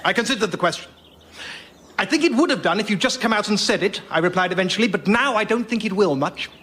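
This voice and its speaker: An actor playing a pompous, old-fashioned upper-class English gentleman telling a digressive tale in a radio comedy. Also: high voice, animated, quick pace, slight background noise. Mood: pompous, droll. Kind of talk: radio sketch comedy storytelling.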